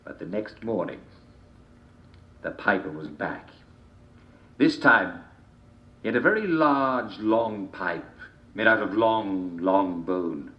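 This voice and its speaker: A man telling a dark fairy tale in a theatrical, deliberate manner, with repeated phrases and dramatic pauses. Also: mid-range voice. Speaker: storyteller reading a folk tale. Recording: dull, roomy, echoing home recording.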